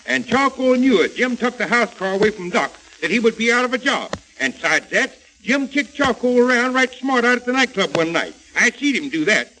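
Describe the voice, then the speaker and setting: An actor playing a blustering, defensive small-town sheriff with a folksy American way of talking, in an old-time radio serial. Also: male voice, very high voice, very animated, fairly quick, hardly any pauses, pushed and bright, exaggerated voice. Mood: accusing. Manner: folksy, stammering when cornered. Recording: old radio recording, faint crackle.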